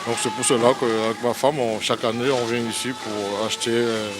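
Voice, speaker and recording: Halting man, medium pitch, casual interview. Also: flat delivery, background noise. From French Antilles. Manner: halting, repetitive. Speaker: customer interviewed.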